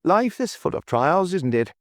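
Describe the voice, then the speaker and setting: A man's voice: medium pitch, big pitch swings, moderate pace, no echo, voice pushed up, lightly acted. A man voicing a breezy, wry young adventurer working as a tour guide, with a dry, teasing manner.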